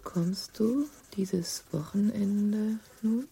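An actress playing a subdued woman voicing her letter haltingly, phrase by phrase, in a radio drama. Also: medium pitch, creaky, mellow, slightly rough, heavily acted. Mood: subdued, deliberate. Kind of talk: German radio drama acting.

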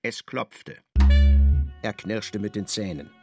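A man narrating a radio play with expressive, dramatic storytelling. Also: lowish voice, slow, music in the background.

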